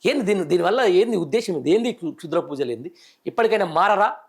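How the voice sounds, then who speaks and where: Man, high pitch, Telangana accent, indignant, emphatic, live news host, political commentary. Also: lively intonation, fast talker, husky. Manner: indignant, rhetorical questioning, emphatic. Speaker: news show host, journalist.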